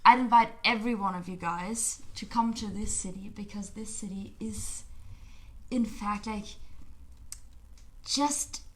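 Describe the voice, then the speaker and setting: A woman's voice: mid-range voice, a little slow, pauses often, fairly bright tone. A young woman from Bavaria, a native German speaker with an Irish-tinged German accent, chatting casually as a podcast guest. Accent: German with an Irish lilt. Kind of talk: casual chat.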